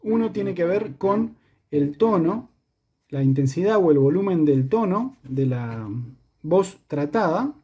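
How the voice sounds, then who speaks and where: A man's voice: lively intonation, slow, dark tone, slightly rough, highs cut off. A man with an Argentine Spanish accent giving a methodical hands-on tutorial, repeating and rephrasing as he goes, his voice run through a voice changer. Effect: live voice changer, shifting in pitch and robotic tube-like tone. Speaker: electronics maker giving a demonstration.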